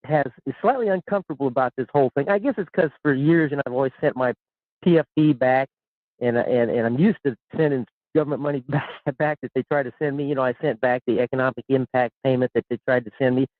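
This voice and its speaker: A man's voice: medium pitch, fast talker, no room sound. Older man calling in on a tinny line. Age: 60s. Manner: rambling, repeats words, chuckles. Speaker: caller. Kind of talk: call-in radio chat. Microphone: telephone.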